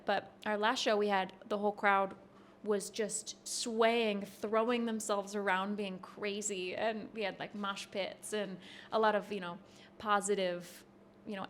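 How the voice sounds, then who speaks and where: A woman in her thirties being interviewed backstage, answering in a relaxed, rambling way and laughing easily. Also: medium pitch, a little hiss or hum. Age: 30s. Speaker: touring bassist guest. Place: backstage at a concert venue.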